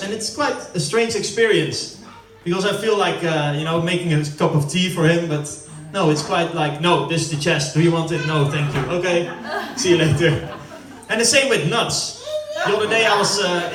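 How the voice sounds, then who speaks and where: Dutch-accented man doing stand-up. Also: sits high. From Netherlands. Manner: chatty, rambling, laughing often. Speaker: stand-up comedian. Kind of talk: stand-up comedy at a family party. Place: former football club canteen. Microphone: corded handheld mic. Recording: echoey hall from a distance with crowd.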